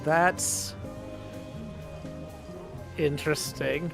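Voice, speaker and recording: A man's voice: a little high, steady tone, drawn-out words, vocal fry. A man streaming a game, casually musing and deliberating aloud as he plays. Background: music.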